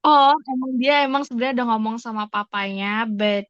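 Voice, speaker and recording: A woman's voice: on the high side, fairly quick, fairly bright tone, clear, resonant tone, dry recording, dull audio. A woman in casual podcast conversation, putting questions and theories forward and switching into English phrases. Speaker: podcast co-host asking questions.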